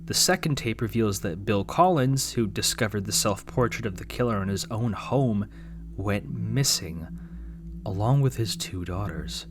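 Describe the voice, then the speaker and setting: A man's voice: on the low side, animated, unhurried, noisy background. Wry young man narrating a video essay. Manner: wry, sarcastic asides. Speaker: YouTube commentator.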